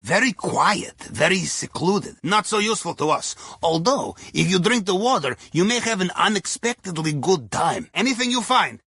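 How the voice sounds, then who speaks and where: A man's voice: high voice, very animated, moderate pace, vocal fry, hardly any pauses, pushed and bright, slightly rough, no echo, studio quality, exaggerated voice. A man playing an eccentric, cheerful Russian submariner in a video game, guiding a heist with wry, conspiratorial chatter and quaint non-native phrasing. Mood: wry, watchful. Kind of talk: video game character voice lines, mission guidance over comms.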